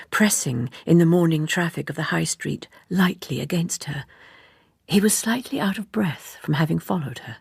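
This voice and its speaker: A woman narrating a literary audiobook in a measured, expressive storytelling manner. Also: lowish voice, gravelly, slightly rough, no echo, studio quality.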